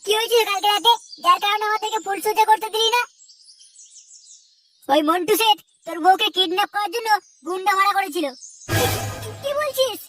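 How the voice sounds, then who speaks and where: A rowdy young cartoon troublemaker with an extremely high, childlike pitch. The delivery is brash, crude and bossy. Prominent music runs under the voice. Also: fairly quick, long pauses, a bit bright. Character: rowdy foul-mouthed young cartoon prankster gang. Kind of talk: Bengali cartoon comedy dubbing.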